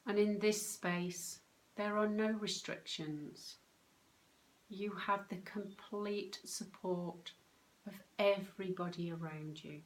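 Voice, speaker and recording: A woman leading a guided meditation in a calm, soothing, unhurried way, with pauses between short phrases. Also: mid-range voice, low-quality recording. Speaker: meditation guide, soul coach.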